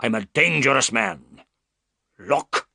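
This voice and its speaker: A man's voice: mid-range voice, lively intonation, slow pace, gravelly, bright, forceful tone, no room sound, clean studio sound, voice pushed up, full character voice. A man voicing a huge, cruel and rude man who speaks in a menacing way.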